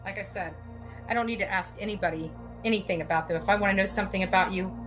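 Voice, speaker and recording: A woman's voice: mid-range voice, fast, a bit bright, low-quality recording. A woman in an informal, unscripted rant, speaking in a menacing, insistent, repetitive way. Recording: clear music behind the voice.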